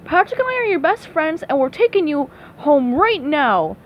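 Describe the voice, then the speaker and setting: Lady doing a bossy girl squirrel from texas, high n emphatic, bit muffled. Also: very animated, a little slow, heavily acted. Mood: frustrated. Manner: emphatic, no-nonsense. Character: bossy, exasperated female cartoon squirrel from Texas. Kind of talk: read-aloud children's cartoon storybook. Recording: dull, noisy recording.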